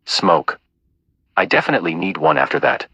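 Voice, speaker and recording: A man playing a laid-back, heroic milkman with a raspy voice and a laconic, casual way of talking in an audio play. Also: sits low, big pitch swings, normal speed, bright, forceful tone, dry recording, dull audio, heavily acted. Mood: casual, shy.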